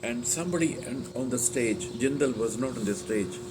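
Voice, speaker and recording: An older man from India with an Indian accent tells personal recollections in a halting, meandering, unscripted way with many hesitant pauses. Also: medium pitch. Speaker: speaker sharing personal recollections. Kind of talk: unscripted reminiscing monologue. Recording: loud steady air-conditioner-like room noise.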